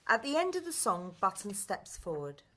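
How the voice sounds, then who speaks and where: Bright-voiced woman narrator. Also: medium pitch, sing-song, moderate pace. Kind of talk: scripted narration of stage directions.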